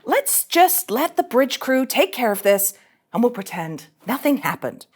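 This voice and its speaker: A woman's voice: a little high, big pitch swings, a little slow, slightly rough, full character voice. A woman playing a brash, irreverent young starship ensign in a sci-fi comedy, blunt and sarcastic. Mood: urgent, flippant. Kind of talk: animated sci-fi comedy table read.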